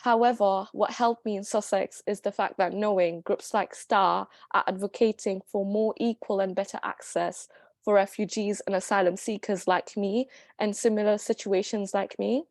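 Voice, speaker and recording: Woman, mid pitch, sincere student speech, dry sound. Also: steady tone, vocal fry, darkish tone, dry recording. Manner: sincere, slightly halting. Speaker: student speaker. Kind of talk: personal speech to an audience.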